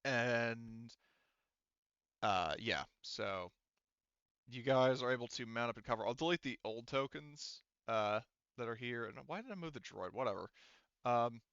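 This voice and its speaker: A man running a tabletop roleplaying game, narrating casually in a halting, rambling, sweary way on a close mic. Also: mid-range voice, fairly bright tone, clean tone, no echo, highs cut off. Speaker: game master.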